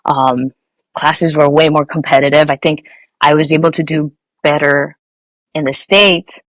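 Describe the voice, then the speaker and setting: A woman's voice: low voice, steady tone, unhurried, no room sound. A young woman from Nepal, a guest engineer, answering interview questions casually with many fillers and restarts.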